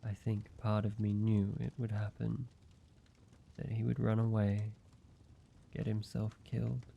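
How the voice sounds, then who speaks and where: A man voicing an ancient vampire in an intimate roleplay audio, speaking in a measured, halting, solemn way, like a weary father telling his past. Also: on the low side, monotone, gravelly, lo-fi sound, slight background noise. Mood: somber, regretful.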